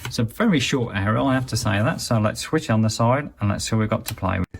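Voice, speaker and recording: A man's voice: fairly low pitch, fast talker, gravelly, background noise. A man giving a casual, chatty and matter-of-fact hands-on review of a gadget. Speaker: product reviewer.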